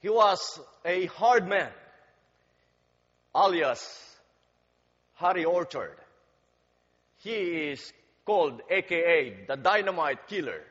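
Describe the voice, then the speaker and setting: A man in a somewhat high voice gives a sermon at an unhurried, deliberate pace, stumbling now and then over fillers. His story climbs in force as it builds. The sound is dull and carries a long reverb through the church hall. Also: lively intonation, a bit bright. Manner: slow, deliberate, halting delivery with fillers. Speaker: guest preacher.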